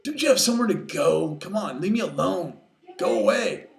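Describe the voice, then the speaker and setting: A man's voice: medium pitch, very animated, takes it slowly, roomy sound. A man voicing an indignant young man turned bear, stammering and emphatic in exasperation. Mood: exasperated.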